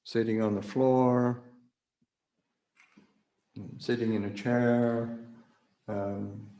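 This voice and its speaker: A man leading a guided meditation as a Buddhist teacher, speaking haltingly with long pauses as he searches for words. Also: mid-range voice, fairly even intonation, dark tone. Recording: roomy, echoing livestream recording.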